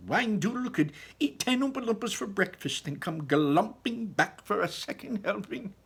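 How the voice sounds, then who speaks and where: An eccentric, proud chocolate factory owner from a children's storybook, acted out by a man reading aloud. The voice is pushed high and swoops animatedly. It is theatrical and emphatic, with a rough, husky edge. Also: animated, normal speed, a bit bright, heavily acted. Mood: dramatic, horrified. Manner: exclaiming, dramatic, emphatic storytelling.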